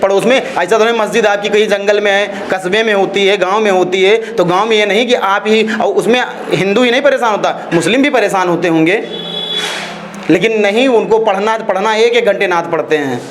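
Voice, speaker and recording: A man's voice: high-pitched, fairly quick, a little hiss or hum. A man giving opinionated interview answers, emphatic and argumentative, repeating phrases and throwing out rhetorical questions. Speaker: interviewee, social activist. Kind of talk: opinionated street-interview talk.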